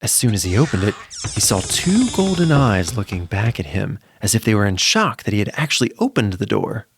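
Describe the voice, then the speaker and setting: A man's voice: fairly low pitch, sing-song, a little fast, noisy background. A man narrating a spooky children's story from a script in a dramatic, suspenseful way.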